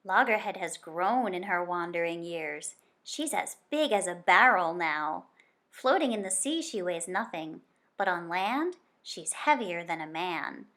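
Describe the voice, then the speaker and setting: A woman reads a children's nonfiction picture book aloud like a teacher, with expressive, deliberate storytelling phrasing and stretched key words. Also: fairly low pitch.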